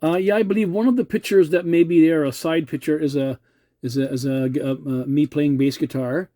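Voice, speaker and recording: A middle-aged or older man with a very warm, mellow voice recorded close and dry. He talks casually as a radio hobbyist, polite and measured. He often hesitates and doubles back on his words. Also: on the high side, fairly even intonation, dry recording. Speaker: amateur radio operator hosting a video channel. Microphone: cell phone filming the radio.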